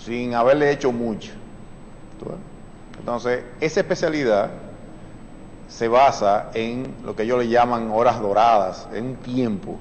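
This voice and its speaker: A man, a surgeon on a TV talk show, explaining patiently with many fillers and the odd clearing of his throat. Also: medium pitch, unhurried, a little mellow, long reverb tail, a little hiss or hum, rough recording. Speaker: surgeon guest. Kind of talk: explanatory TV interview.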